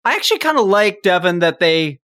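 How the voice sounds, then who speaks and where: Male podcast co-host, noticeably high voice, wry and chatty, informal panel discussion. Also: very animated, fairly quick, bright, forceful tone. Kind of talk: casual panel chat about a TV show.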